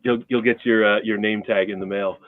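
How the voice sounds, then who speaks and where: A man with a fairly low voice hosts a casual interview. The audio is narrow and tinny, like a phone line. He speaks conversationally as he puts questions to a guest. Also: steady tone, a little fast, dry recording. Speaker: host.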